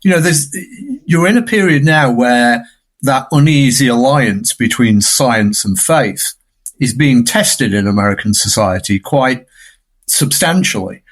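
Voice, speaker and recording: Mid-pitched man on a discussion podcast. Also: expressive, measured pace. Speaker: podcast panelist. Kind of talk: reflective film discussion.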